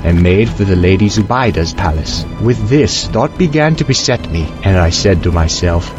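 A man's voice: low-pitched, expressive, normal speed, lo-fi sound, music in the background. A man narrating an audio drama in the first person as a young merchant, with theatrical, archaic storybook diction.

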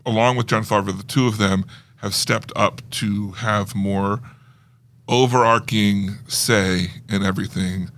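A man's voice: on the low side, steady tone, measured pace, a bit bright. A man co-hosting a casual chat show, explaining fan lore at length in a geeky way. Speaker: podcast co-host reviewing film news.

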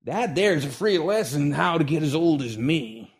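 A man voicing a grumpy old retired gunfighter, speaking in a slow drawl with folksy frontier grammar. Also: on the high side, animated, husky, voice pushed up. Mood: gruff drawl. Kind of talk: audiobook character voice.

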